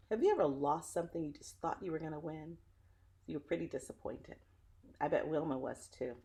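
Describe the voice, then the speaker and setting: A woman reading a children's story aloud like a teacher, with dramatic phrasing and an engaging way of addressing young listeners. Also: sits low.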